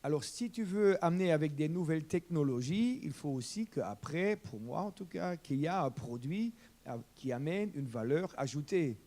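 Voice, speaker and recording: A man's voice: a little high, a little mellow. A German-speaking man on a debate panel, speaking French with passion, repeating himself and rambling as he argues. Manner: impassioned, repeats words, rambling. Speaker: farmer panelist.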